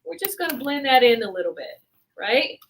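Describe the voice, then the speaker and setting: A woman's voice: high voice, big pitch swings, fairly quick, fairly bright tone, a little hiss or hum. A woman from the Bahamas presenting and demonstrating her hair-care brand's products, explaining step by step and often checking in with "right?".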